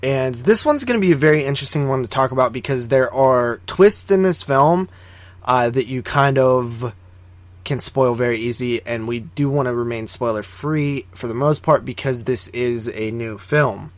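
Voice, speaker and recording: A man with a middling pitch talks as a podcast co-host. His delivery is informal and conversational, like someone going over films with a friend. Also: normal speed, no room sound. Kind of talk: casual film review chat.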